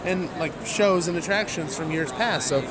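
A man co-hosting a podcast, chatting casually and emphatically like an excitable fan. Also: on the high side, moderate pace, background noise. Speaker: podcast co-host. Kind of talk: casual podcast chat about a fan event. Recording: loud crowd din behind.

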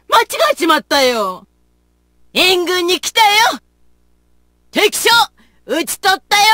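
A woman's voice: high-pitched, sharp tone, no echo, clean studio sound, heavily acted. A woman voicing a plucky, folksy big-sisterly warrior in a video game, with tough motherly phrasing. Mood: easygoing, rueful. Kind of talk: video game battle voice lines.

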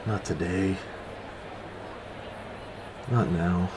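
An actor playing a gruff, weary, protective middle-aged officer and father in a science fiction audio drama. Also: deep voice, steady tone, drawn-out words, noisy background. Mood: angry, despairing.

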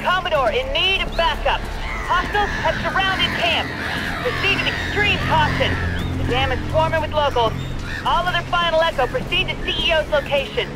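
Embattled game commander, extremely high-pitched, clipped and urgent, under loud music. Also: male voice, normal speed, a loud crowd. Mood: urgent, commanding. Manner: urgent, clipped military speech. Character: embattled military commander broadcasting to his troops. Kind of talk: video game voice acting. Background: loud music, vehicle sounds.

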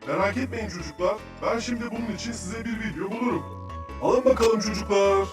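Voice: mid-range voice, fairly quick, recorded from a distance, natural voice. An actor voicing a knowledgeable, cheerful cartoon researcher who explains things patiently and articulately to children. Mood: helpful, informative. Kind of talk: children's educational cartoon dubbing. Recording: roomy echo, music behind.

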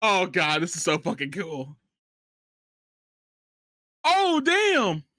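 High-voiced, excitable young man. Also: slow pace, no room sound, studio quality. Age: young adult. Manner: excitable, fannish, swears freely. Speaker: reaction show host. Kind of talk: casual movie reaction chat. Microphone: close boom-arm podcast mic.

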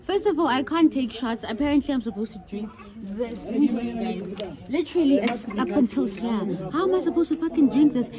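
A young woman from South Africa talking casually to her phone camera as a vlogger, chatty and excitable. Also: on the high side, fairly quick, over a noisy crowd. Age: young adult. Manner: chatty, excitable, laughs easily. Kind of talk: casual vlog chat to camera. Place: car, shops, outdoor party, home. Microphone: phone camera held at arm's length. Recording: loud background noise.

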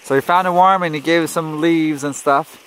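A man's voice: on the high side, steady tone, brisk, a bit bright, a little hiss or hum. A man in his thirties, a father vlogging a family camping trip outdoors and chatting with his small kids, with the camera held at arm's length. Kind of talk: casual vlog narration to camera. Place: outdoor campsite in the woods.

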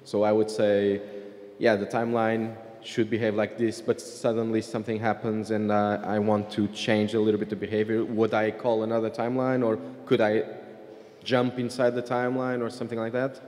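A middle-aged man asking an unscripted question from the audience at a stand microphone, halting as he thinks aloud. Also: lowish voice, completely flat delivery, darkish tone. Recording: reverberant hall PA sound.

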